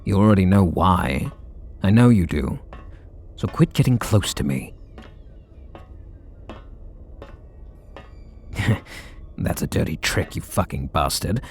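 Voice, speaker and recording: A man voicing a brooding, guarded male super villain in an ASMR audio roleplay, speaking softly and confidingly with blunt swearing. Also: animated, measured pace, slight background noise, full character voice. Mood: flustered. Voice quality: low gravelly, husky voice.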